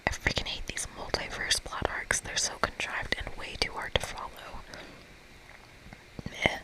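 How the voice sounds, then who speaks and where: ASMR host, fully whispered and breathy, reading game dialogue aloud. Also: poor audio.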